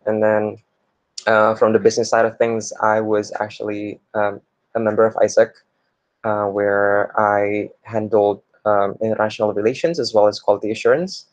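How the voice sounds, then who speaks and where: A young man, a student introducing himself informally and pausing often mid-phrase. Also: on the low side, flat delivery, no room sound. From Indonesia. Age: young adult. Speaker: computer science student presenting. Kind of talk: informal self-introduction in a class presentation.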